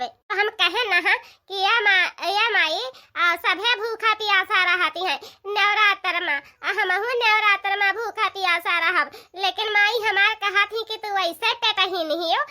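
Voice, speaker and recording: A woman's voice: very high-pitched, sharp tone, full character voice. A woman voicing an aggrieved, chatty village woman in a comedy cartoon, complaining with an Awadhi accent. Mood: whining, stubborn. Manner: complaining, rambling, rhetorical questions.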